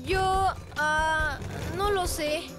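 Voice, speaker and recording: A woman's voice: very high voice, fairly even intonation, very slow, over music, heavily acted. A woman voicing a shy, hard-working young girl motorbike in a children's cartoon, speaking with a hesitant manner. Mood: shy, nervous.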